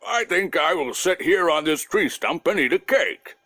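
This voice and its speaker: A man voicing a huge, gruff, bossy and gullible male bear in a children's storybook tale, with an emphatic, demanding delivery. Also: fairly high pitch, lively intonation, normal speed, sharp tone, dry recording, voice pushed up. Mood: commanding.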